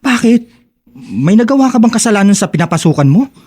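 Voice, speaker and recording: A gentle young man in a radio drama, earnest and apologetic. His voice is high for a man, mellow and a bit husky. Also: sing-song, brisk, heavily acted. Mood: concerned. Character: concerned, cash-strapped young male friend, gentle and apologetic.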